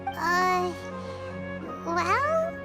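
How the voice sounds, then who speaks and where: An actress voicing a tiny, childlike, excitable female fairy companion with a squeaky voice in an English-dubbed anime game. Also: very slow, full character voice. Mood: hesitant. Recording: game dialogue over loud music.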